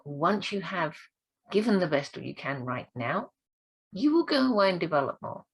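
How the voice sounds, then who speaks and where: A woman speaking coach giving an encouraging training talk, her voice croaky and hoarse this week. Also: on the low side, very animated, moderate pace. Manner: encouraging, earnest. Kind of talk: direct-to-camera training talk.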